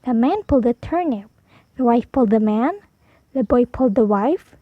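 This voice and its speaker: A woman storyteller reading a picture book aloud for preschoolers, animated, drawing out key words for emphasis. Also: fairly high pitch, moderate pace, dry recording, narrow, tinny audio. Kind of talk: expressive read-aloud for preschoolers.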